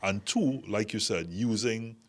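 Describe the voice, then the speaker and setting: A man hosting a casual discussion, with a fairly low voice. He questions what others say and works through his own thoughts aloud, restarting his phrases as he goes. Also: a little slow, a little hiss or hum. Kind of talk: casual panel chat.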